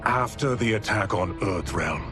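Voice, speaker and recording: A man's voice: on the low side, lively intonation, a little slow, over music. A man playing a cold, scheming, commanding evil sorcerer in a video game, speaking imperiously. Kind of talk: dramatic video game villain acting.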